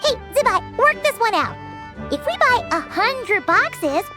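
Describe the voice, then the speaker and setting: A woman voicing a tiny, excitable, greedy fairy companion in a video game, squeaky, childlike and bubbly. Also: measured pace, over music, heavily acted. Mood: eager scheming. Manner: bubbly, exclamatory, speaks of self in third person.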